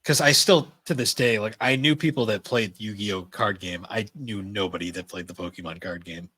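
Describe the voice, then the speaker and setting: A man's voice: fairly low pitch, moderate pace, bright, forceful tone, slightly rough, dry recording. A man co-hosting a podcast, chatting casually and informally in loose, rambling remarks. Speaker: podcast co-host. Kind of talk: casual chat about anime and games.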